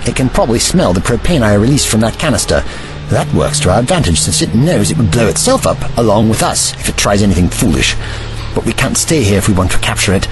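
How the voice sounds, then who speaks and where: A man playing a calm, protective, wry time-travelling adventurer with measured, formal phrasing in an audio drama. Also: lowish voice, music in the background, poor audio. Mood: hushed caution. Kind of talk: fan audio drama acting.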